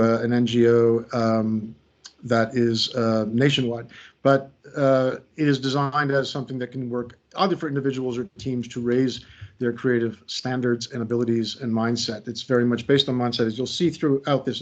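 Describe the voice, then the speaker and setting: A middle-aged man leading an online creativity workshop, chatty and self-deprecating, with lots of fillers and restarts. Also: mid-range voice. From United States. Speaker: creativity trainer and workshop facilitator. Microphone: video call. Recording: slightly dull video call audio.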